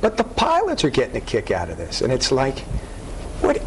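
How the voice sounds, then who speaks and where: A man's voice: medium pitch, huge pitch swings, a little fast, background noise. A man in his thirties co-hosting a television panel, giving opinions emphatically and repeating his points. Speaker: TV morning show co-host. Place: TV studio, seated on couch.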